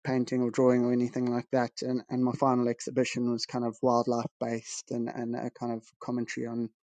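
A man's voice: medium pitch, monotone, a little fast, a little mellow, no room sound. A man from Zimbabwe, a guest artist on a video call, talking in a rambling, modest way and often restarting his sentences. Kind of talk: casual video-call interview. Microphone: video call over a weak connection.

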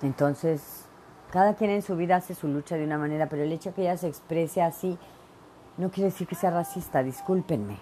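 A woman's voice: fairly low pitch, fairly quick, warm tone, a little hiss or hum, rough recording. A middle-aged woman from Veracruz, hosting her own show and giving blunt, emphatic opinions in a solo monologue full of fillers. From Mexico (Veracruz). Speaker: podcast host.

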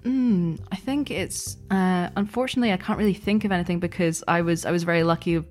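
A woman's voice: fairly low pitch, brisk, darkish tone, faint music behind. A woman chatting casually as an interview guest, thinking aloud in a thoughtful, hesitant way. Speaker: interview guest, audio drama producer and editor.

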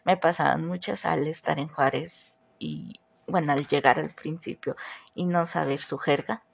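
A Mexican woman with a mixed Mexico City, Morelos and northern accent hosts a podcast at an unhurried pace. Her voice is deep-set, gravelly and husky. She chats easily and keeps asking the listener questions. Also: fairly even intonation. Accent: Mexican Spanish, mixed Mexico City, Morelos and northern. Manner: chatty, asks listeners questions. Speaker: podcast host. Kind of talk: casual podcast monologue.

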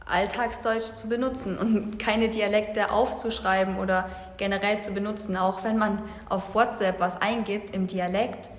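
Woman with a Saxon accent, casual podcast talker, reverberant room. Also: mid-range voice. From Saxony, Germany. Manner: chatty, thinks aloud with fillers. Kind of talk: casual discussion. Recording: echoey room with long reverb.

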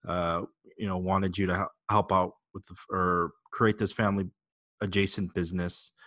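Low-voiced man hosting a podcast. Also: flat delivery, normal speed, a bit bright. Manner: hesitant, filler-heavy questions. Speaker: podcast host. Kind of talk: scripted intro then interview questions.

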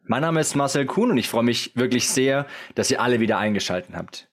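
A man's voice: mid-range voice, animated, brisk. Upbeat male podcast host. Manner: upbeat, friendly, laughs along. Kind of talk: scripted podcast intro and casual interview.

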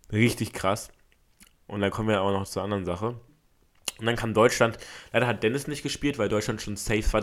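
Slightly hoarse, excitable young man. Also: fairly low pitch. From Germany. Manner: excitable, rambling with many fillers and restarts. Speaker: podcast host. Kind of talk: casual solo podcast storytelling.

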